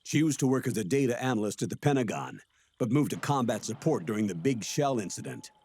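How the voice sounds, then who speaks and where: An actor playing a measured, authoritative older colonel in a video game, with a husky voice and an American accent. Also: male voice, mid-range voice, very animated, a little mellow, slight background noise. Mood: measured, advisory. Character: steady older male colonel briefing his agent. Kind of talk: video game cutscene acting.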